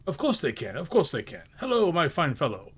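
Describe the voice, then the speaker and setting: A man voicing an overjoyed old miser in a storybook reading, excitable and childlike, gushing in exclamations. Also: a little high, brisk, a little hiss or hum. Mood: excited, eager. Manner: exclamatory, breathless gushing, whooping.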